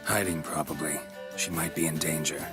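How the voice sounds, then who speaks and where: Very deep, gruff monster hunter. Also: male voice, normal speed, over loud music. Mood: grave. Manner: laconic, deadpan. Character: gruff stoic monster hunter, deadpan, dryly wry. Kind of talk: video game voice acting.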